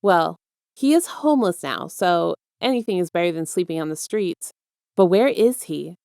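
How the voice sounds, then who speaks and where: A woman's voice: lowish voice, animated, moderate pace, vocal fry, warm tone, dry recording, studio quality. A woman reading scripted fiction aloud as the narrator of an audio story. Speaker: podfic narrator.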